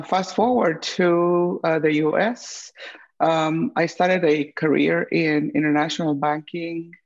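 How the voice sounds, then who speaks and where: Gravelly woman, lo-fi sound. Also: low-pitched, a little mellow. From Guatemala. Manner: unhurried, many uh fillers and pauses. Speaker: guest, chef and entrepreneur. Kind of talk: interview answers. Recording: dull lo-fi audio.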